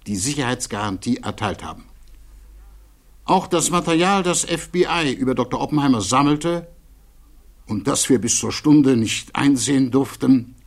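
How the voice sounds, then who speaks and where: An actor playing an earnest defense attorney in a German radio play, delivering a formal, measured plea with emphatic rhetoric and deliberate pauses. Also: male voice, mid-range voice. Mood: composed, firm. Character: defense attorney pleading earnestly before a security hearing.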